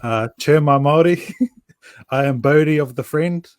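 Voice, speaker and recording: Playful Kiwi co-host, dry mic. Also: male voice, a little high, measured pace, dry recording. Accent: New Zealand. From New Zealand. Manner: playful, laughs easily, slangy.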